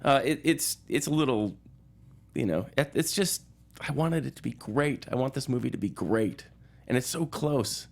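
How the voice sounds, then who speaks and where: A man in an informal film discussion, speaking as a journalist on a talk show. He talks in a casual, halting way, often doubling back on his phrases, with a medium-pitched voice. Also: gravelly. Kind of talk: casual film review chat.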